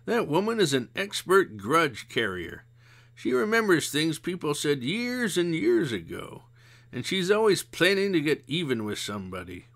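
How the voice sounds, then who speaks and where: A man voicing a calm, shrewd older woman who talks in a dry, knowing, gently scolding way. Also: a little high, moderate pace, voice pushed up. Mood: wry.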